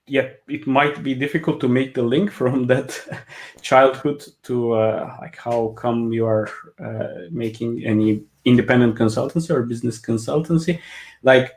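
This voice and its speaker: A man's voice: medium pitch, unhurried, darkish tone. Hesitant man on a video call. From Turkey (Kurdish region, Diyarbakır). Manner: hesitant, many filler "uh"s, wry laughs. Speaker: guest, business consultant and coach. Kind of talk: casual interview on a video call.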